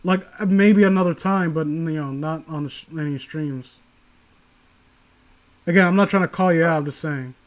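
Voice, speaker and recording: A man hosting a story-reading livestream, chatting casually and critically in a rambling, halting way with some swearing. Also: a little high. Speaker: host of a story reading stream.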